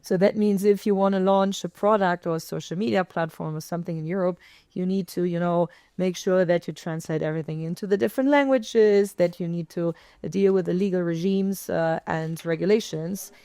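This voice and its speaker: A woman speaking on a conference panel, explaining points in a measured way with frequent "I mean" and "um". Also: lowish voice, steady tone, dark tone, clear, resonant tone, dry recording, studio quality. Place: conference stage.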